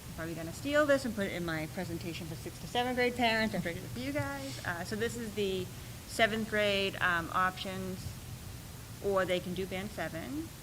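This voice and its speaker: A soft-spoken woman, a school principal, explaining schedules and budget requests at a meeting, with frequent pauses and ums. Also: medium pitch, background noise. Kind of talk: presenting at a school board meeting.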